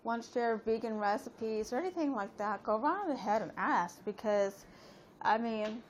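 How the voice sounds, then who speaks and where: A woman's voice: a little high, lo-fi sound, a little hiss or hum. A woman in her late thirties chatting casually on a live stream, rambling and talking straight to her viewers with lots of fillers. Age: 30s. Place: at home.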